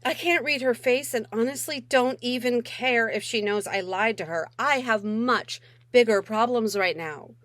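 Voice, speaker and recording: A woman's voice: on the high side, measured pace, hardly any pauses, a bit bright, no echo. A woman author reading her middle grade novel aloud in a wry first-person voice with dramatic emphasis.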